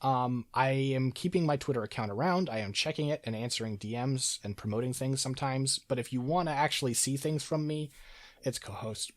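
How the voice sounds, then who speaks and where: A man's voice: mid-range voice, fairly quick, no room sound. A man hosting a podcast in casual chat, sick with a sore throat and losing his voice. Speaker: podcast host.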